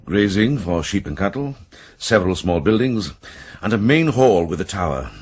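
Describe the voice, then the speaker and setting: A grave, observant man in a radio play. His voice is deep and husky, giving terse, factual reports. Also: sing-song, normal speed, darkish tone. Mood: grave briefing. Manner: terse, matter-of-fact. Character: sober, observant man reporting on enemy stronghold. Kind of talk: radio drama acting.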